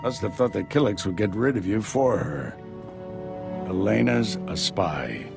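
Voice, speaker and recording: An actor playing a gruff, battle-worn Jedi master in a video game, with a gravelly voice and a dry, commanding delivery. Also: male voice, lowish voice, expressive, measured pace, music in the background. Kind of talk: video game cutscene acting.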